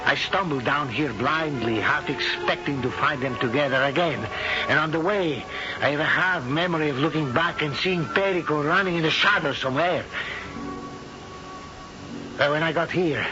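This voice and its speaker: An actor playing a proud, anguished older Spanish husband in a halting, melodramatic 1970s radio drama style. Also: male voice, a little high, close to an everyday voice. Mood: sorrowful, confessing. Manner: slow, halting, melodramatic delivery. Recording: dull old radio broadcast with music.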